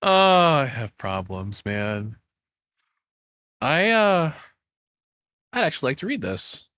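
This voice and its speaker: A man's voice: mid-range voice, very animated, slow, fairly bright tone, no echo. A man giving casual, spontaneous reaction commentary as a streamer, enthusiastic and informal, speaking close to the microphone.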